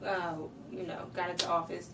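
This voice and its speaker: A woman's voice: lowish voice, completely flat delivery, normal speed, creaky, pushed and bright, slight background noise. A woman chatting casually in a group, talking loosely and trailing off her sentences. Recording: distant mic with chatter behind.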